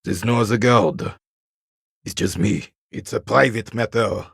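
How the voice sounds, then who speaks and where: An actor playing a proud, bitter old royalist soldier in a video game, stern and disdainful, with a gravelly, raspy voice. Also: male voice, on the low side, unhurried, a bit bright, dry recording, clean studio sound, heavily acted. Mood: guarded. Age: older. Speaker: retired carabinier working as a guard. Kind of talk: video game character dialogue.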